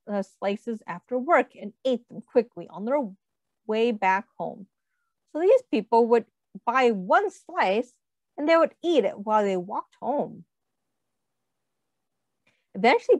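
A woman reading a picture book aloud to young children, expressively, pausing to explain and ask questions. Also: on the high side, no room sound. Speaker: read-aloud host, teacher.

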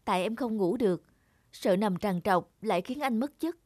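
A woman's voice: mid-range voice, expressive, normal speed, darkish tone, no echo, studio quality, faint music behind, close to an everyday voice. A woman voicing an anxious, protective young mother in a dubbed cartoon drama. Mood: worried.

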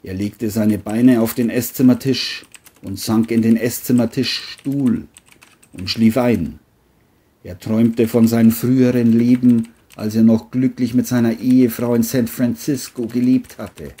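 Very deep, husky female narrator. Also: a little slow, mellow. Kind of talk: scripted crime story narration.

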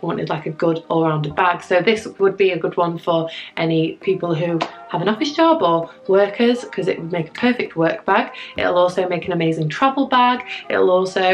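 Husky-voiced young woman, chatty vlogger. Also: fairly low pitch, poor audio. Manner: upbeat, chatty, addresses viewers directly. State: husky from a cold. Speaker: fashion vlogger. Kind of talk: chatty product unboxing review. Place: home room with framed prints, indoors.

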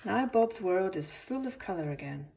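A woman reading a picture book aloud to young children as its author, expressive, with gentle asides. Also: medium pitch, moderate pace, creaky, slight background noise.

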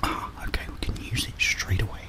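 A young man whispering gentle, unhurried ASMR gameplay commentary into a close desk microphone. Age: young adult. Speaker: gaming ASMR streamer. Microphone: close desk microphone with headphones.

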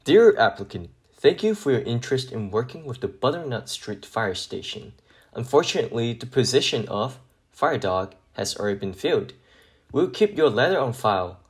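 A man's voice: medium pitch, very animated, moderate pace. A man voicing a polite, formal fire station official in a children's picture book, businesslike in manner. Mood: formal, dismissive.

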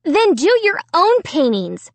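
Woman acting a shrill, furious teenage girl. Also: wildly swooping pitch, normal speed, a bit bright, no room sound, exaggerated voice. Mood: sputtering, snappish. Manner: snappish, indignant. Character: furious, stuck-up teenage girl painter, shrieking at her brother.

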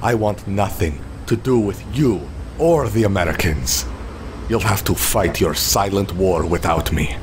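A man's voice: low voice, measured pace, a little hiss or hum. A man voicing a proud, bitter young genius villain, formal, imperious and threatening, in a comic audio drama. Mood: menacing. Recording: music bed under the voice.

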